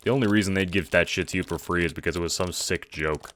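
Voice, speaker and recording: Guy doing a cool sarcastic teen slacker, low pitched, swears a lot, audio drama. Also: fast, heavy background noise, natural voice. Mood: wry, guarded. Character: defensive, sarcastic teenage boy, cool slacker.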